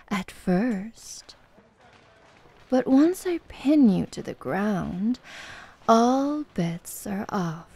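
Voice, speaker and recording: Woman acting a slow, creaky-voiced flirty tomboy fighter. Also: medium pitch, warm tone, full character voice. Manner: slow, teasing, giggly, encouraging. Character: flirtatious confident tomboy MMA fighter woman, playful, seductive coach. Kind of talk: ASMR roleplay, personal address to listener.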